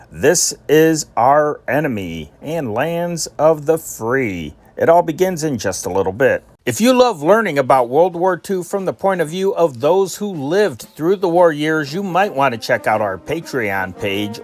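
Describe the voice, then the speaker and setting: A man speaking as the host of a channel, introducing vintage radio programmes. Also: a little high.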